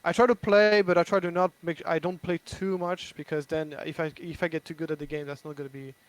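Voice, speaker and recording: A man's voice: on the high side, steady tone, brisk, low-quality recording. Hesitant man with a French accent. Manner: hesitant, many restarts and fillers. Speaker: game designer. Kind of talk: casual chat.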